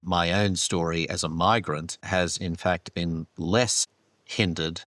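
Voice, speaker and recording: A man in his fifties with a Canadian accent speaks in a very low, unhurried voice. He answers questions thoughtfully, breaking into soft laughs now and then. The sound is dry and close. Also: steady tone, no room sound. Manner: measured, thoughtful, laughs softly. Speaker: archbishop guest. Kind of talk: reflective interview answers.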